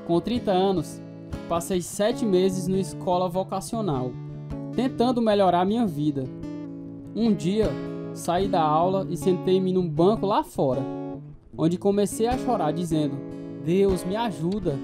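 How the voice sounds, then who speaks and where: A man's voice: high voice, measured pace. Troubled man recounting his life, music behind. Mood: somber, confessional. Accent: Brazilian. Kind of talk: radio drama narration and dialogue.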